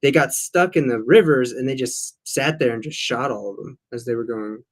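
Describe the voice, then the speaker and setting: A man chatting casually on a livestream panel, telling animated stories with asides. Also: mid-range voice, moderate pace. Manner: chatty storyteller, animated retelling. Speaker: livestream co-host. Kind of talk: casual group chat.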